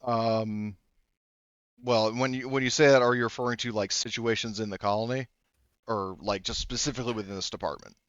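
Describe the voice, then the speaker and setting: A man narrating a tabletop roleplaying game as game master, casually improvising and haltingly feeling his way through the details. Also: on the low side, steady tone, fairly bright tone, no room sound, muffled recording.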